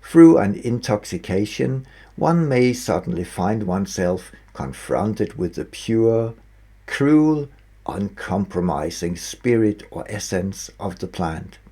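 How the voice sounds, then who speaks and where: A man narrating his own written book as an author, with deliberate, emphatic phrasing broken into short pieces. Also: fairly low pitch, lively intonation, dark tone, husky. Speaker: author reading own audiobook. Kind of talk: scripted audiobook narration.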